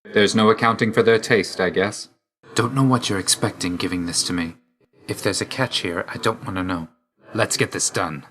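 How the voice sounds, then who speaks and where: A man's voice: lowish voice, normal speed, a bit bright, lightly acted. A man voicing a grim, reserved god of death in a video game, speaking tersely and solemnly with dry loyalty. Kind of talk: scripted video game voice lines.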